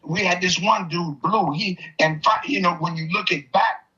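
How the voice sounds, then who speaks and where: High-pitched, bright-voiced older man. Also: moderate pace. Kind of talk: casual opinionated conversation with personal stories. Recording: dull, lo-fi audio.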